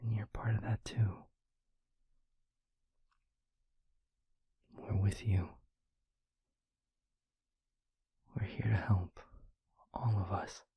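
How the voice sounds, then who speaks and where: A man playing a gentle, devoted vampire boyfriend in an intimate audio roleplay, speaking earnestly and haltingly in a breathy, husky near-whisper. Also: fairly low pitch, fairly even intonation, dry recording. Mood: tender, reassuring. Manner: slow, halting, tender reassurance.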